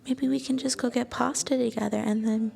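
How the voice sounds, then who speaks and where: A woman playing a shy, sweet young student girlfriend in an ASMR roleplay, speaking softly and breathily with gentle hesitation, often slipping into a whisper. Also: a little high, fairly even intonation, a little fast, creaky. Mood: gentle, musing.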